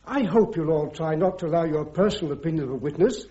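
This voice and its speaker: An actor playing a polite, precise British legal adviser in a radio drama, formal and deferential but quietly persistent. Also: male voice, fairly high pitch, fast talker, highs cut off, lightly acted. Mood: earnest objection.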